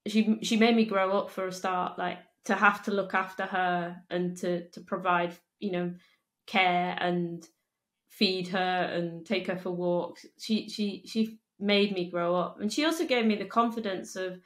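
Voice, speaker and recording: A woman, chatting casually as an interview guest, halting and restarting often. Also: lowish voice, steady tone, a bit bright. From Liverpool, England. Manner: halting, with frequent restarts and ums. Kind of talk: casual conversational storytelling. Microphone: earphone mic on a video call. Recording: echoey room.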